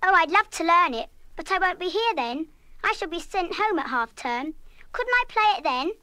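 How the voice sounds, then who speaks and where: A woman's voice: very high-pitched, very animated, moderate pace, bright, forceful tone, no echo, exaggerated voice. An actress playing a headstrong, mischievous English schoolgirl in a radio play, plucky and well-spoken. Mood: eager. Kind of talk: full-cast children's radio play acting.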